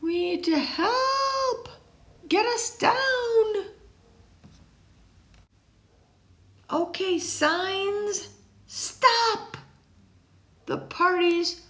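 A woman's voice: very high-pitched, very slow, fairly bright tone, voice pushed up, heavily acted. A woman voicing a plucky, eager little stick-figure kid from a picture book, in a childlike exclaiming manner. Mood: urgent. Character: excitable little stick-figure sign kids, adventurous and plucky.

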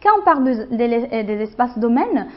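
Italian-accented woman lecturing, many pauses. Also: a little high, animated, muffled recording. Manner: hesitant, many fillers and restarts, checks understanding. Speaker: guest lecturer. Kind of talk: university lecture.

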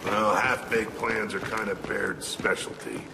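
An actor playing a gruff, battle-hardened veteran soldier in a video game, with terse, dry delivery. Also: male voice, lowish voice, steady tone, unhurried, very noisy. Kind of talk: video game cinematic acting. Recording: echoey, under loud game music.

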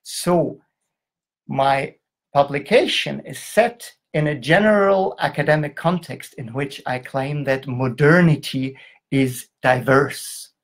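A middle-aged man from Germany giving an online lecture over a video call, talking in a halting way with restarts. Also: a little high. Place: indoor room, video call.

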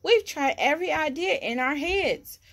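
Woman as eager high-voiced little chimp. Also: lively intonation, normal speed, a bit bright. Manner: eager, exclamatory. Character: eager, friendly little chimps full of ideas.

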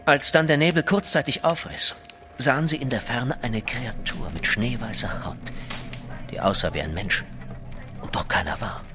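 An actor in a German audio drama playing a driven gentleman writer, dramatic and insistent, sometimes hushed. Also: mid-range voice, normal speed, music in the background, close to an everyday voice. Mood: ominous. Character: intense, driven gentleman writer pleading for help.

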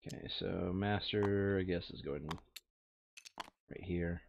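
A man casually playing through a board game, reading card text aloud and adding expressive, muttered asides. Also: low-pitched, slow, creaky, lo-fi sound.

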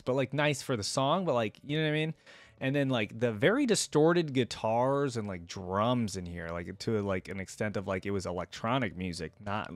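A man's voice: medium pitch, no echo. A man in his twenties giving casual live reaction commentary, thinking aloud in an informal way into a close microphone. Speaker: reaction video host. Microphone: close desktop microphone, headset worn. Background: game theme music.

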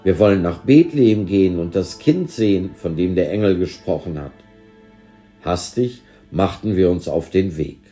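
A man's voice: sits low, animated, normal speed, mellow, soft background music. A man voicing an awed old shepherd grandfather, solemn and earnest, in a storybook reading. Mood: earnest awe.

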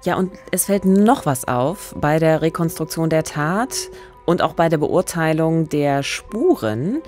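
Measured woman podcast co-host. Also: deep voice, lively intonation, darkish tone, faint music behind. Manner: measured, asks questions. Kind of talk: conversational true-crime podcast. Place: radio studio. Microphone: studio microphone with pop filter, headphones.

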